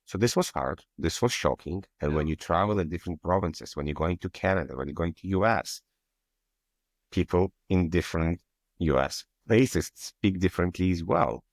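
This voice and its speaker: Deep-voiced Russian-accented guest. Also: male voice, normal speed, no echo. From Kyiv, Ukraine. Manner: earnest, slightly broken English grammar. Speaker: guest, cybersecurity architect. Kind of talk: interview answers.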